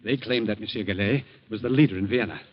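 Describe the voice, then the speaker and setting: A man playing a nervous, earnest fugitive who speaks haltingly in an old-time radio drama, on a dull vintage recording. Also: on the low side, slightly rough. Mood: halting, grave. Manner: hesitant, halting. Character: nervous, earnest wanted Austrian man protesting his innocence.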